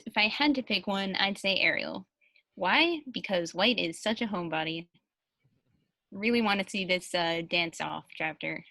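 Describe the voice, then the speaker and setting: A woman's voice: medium pitch, lively intonation, moderate pace, gravelly, pushed and bright, dry recording. A woman in a casual panel interview, chatting and reading out answers as a translator.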